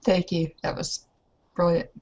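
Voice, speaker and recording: A woman's voice: on the low side, little pitch movement, a little slow, darkish tone. A woman playing a tabletop roleplaying game, hesitantly describing her character in casual talk, on a muffled recording. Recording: dull, muffled lo-fi sound.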